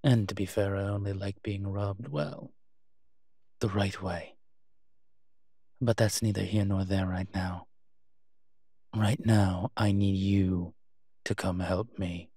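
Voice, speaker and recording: A man acts out a male incubus demon professor for an intimate audio roleplay. The voice is low and husky, recorded very dry and close. He is proud and teasing, speaking slowly with hesitant pauses and a flirtatious edge. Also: fairly even intonation, dark tone, no echo, full character voice. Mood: teasing, reluctant.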